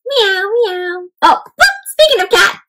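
A young woman hosting an interactive read-aloud of a picture book for children, talking to them warmly as "my friends" and asking them questions. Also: extremely high pitch, slow. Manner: animated, addresses kids as "my friends". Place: home room with bookshelf and plants.

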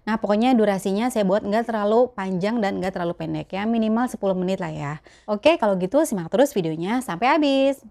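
A young woman from Jakarta speaks with a Betawi accent in an everyday mid-pitched voice. She chats colloquially to her viewers, answering their questions in a casual vlog style. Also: dark tone. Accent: Betawi Jakarta Indonesian. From Jakarta, Indonesia. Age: young adult. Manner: chatty, colloquial. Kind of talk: casual chat to camera. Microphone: clip-on lapel mic.

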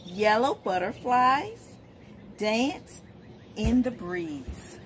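Middle-aged female storytime reader, animated and highish, question-led delivery for little kids, light outdoor background noise. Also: drawn-out words. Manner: expressive, asking questions as if to small children. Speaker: storytime host, literacy educator. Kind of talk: read-aloud picture book storytime for young children. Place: outdoors, in front of leafy shrubs.

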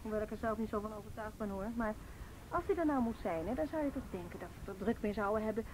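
An actress playing an evasive, opinionated car owner in a radio play, speaking in an offhand, contrary way. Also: female voice, mid-range voice, expressive, fast, darkish tone, close to an everyday voice, poor audio. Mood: indignant, opinionated. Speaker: radio drama actor. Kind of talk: Dutch radio play dialogue. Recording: old radio recording, faint noise.

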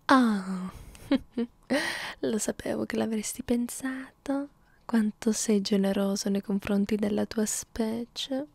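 A woman voicing a hungry, clingy vampire girlfriend in an intimate ASMR roleplay, murmuring softly and slipping into breathy whispers. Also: on the high side, steady tone, exaggerated voice. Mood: coaxing, teasing. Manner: coaxing, halting, close-up murmuring with small hums. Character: hungry immortal female vampire girlfriend, clingy, teasing, predatory.

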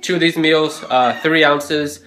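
A man's voice: a little high, measured pace, slight background noise. Young man vlogging, phone at arm's length. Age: young adult. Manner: relaxed, chatty, motivational. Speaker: fitness vlogger. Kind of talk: casual vlog talking to camera. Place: condo gym, then home kitchen. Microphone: phone camera at arm's length.

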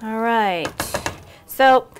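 Slow-talking woman hosting a cooking demo. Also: fairly high pitch, slight background noise. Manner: chatty, unhurried, many ums and restarts. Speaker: cooking show host. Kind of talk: casual cooking demonstration. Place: home kitchen, indoors.

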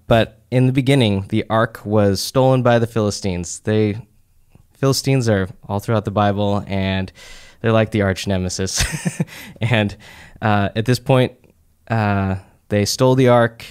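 A young man giving a casual, conversational Bible teaching talk in a small office, speaking into a boom-arm studio mic with frequent fillers. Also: smooth. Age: young adult. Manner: relaxed, conversational, many "uh" and "like" fillers. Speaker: church teaching podcast speaker. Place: small office room, indoors.

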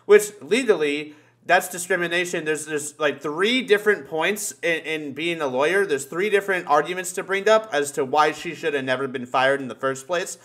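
Higher-pitched man, opinionated and sweary. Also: a bit bright. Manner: opinionated, profane ranting. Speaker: podcast participant. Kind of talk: casual chat.

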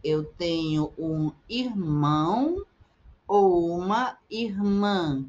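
A middle-aged woman teaching Portuguese, repeating short phrases slowly and with careful articulation for learners. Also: lowish voice, animated, darkish tone, highs cut off. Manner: slow, carefully articulated repetition. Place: indoors, webcam in a circle overlay.

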